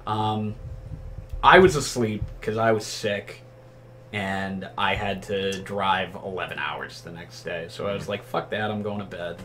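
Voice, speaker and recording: A man's voice: sits low, unhurried, fairly bright tone. Guy telling a casual story to his buddies, rambly, sweary, kinda baffled, noisy room. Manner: rambling, profane, incredulous. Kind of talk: casual anecdote telling among friends.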